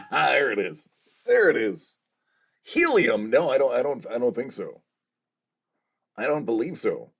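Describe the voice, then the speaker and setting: A man's voice: medium pitch, very animated, slow, pauses often, a bit bright, breathy edge. A man hosting a casual livestream, joking along and reading out viewers' chat messages. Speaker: livestream host.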